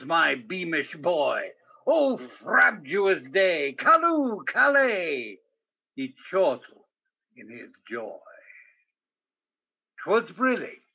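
A man playing a grand, dramatic 1940s radio host who recites deliberately, with a husky, creaky voice. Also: mid-range voice, highs cut off, exaggerated voice. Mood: exultant. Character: theatrical mid-century radio host reciting verse.

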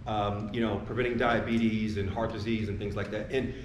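A man's voice: fairly low pitch, flat delivery, moderate pace, slight background noise. A man on a panel, answering questions in a rambling way and repeating his words. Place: retail sports store, indoors. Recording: echoey store room.

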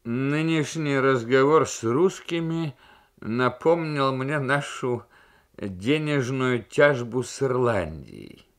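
A man voicing a forceful British prime minister in an emphatic, oratorical radio reading of a novel. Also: medium pitch, lively intonation, takes it slowly. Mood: amused.

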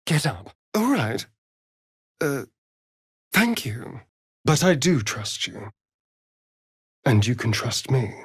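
A man's voice: medium pitch, big pitch swings, slow pace, pauses often, slightly rough, no echo, studio quality, exaggerated voice. A man playing a sardonic, theatrical male vampire rogue in a video game, with an arch, drawling delivery. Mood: earnest. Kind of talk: video game character acting.

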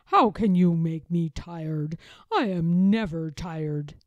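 A woman's voice: lowish voice, slow, dry recording, muffled recording, voice pushed down. A woman voicing a jolly, emphatic old male grandfather bear in a children's storybook.

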